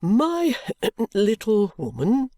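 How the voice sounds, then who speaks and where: A reader voicing a timid, perplexed middle-aged stationer who stammers, hesitates and clears his throat. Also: mid-range voice, wildly swooping pitch, extremely slow, mellow, dry recording, clean studio sound, voice pushed up, full character voice. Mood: hesitant.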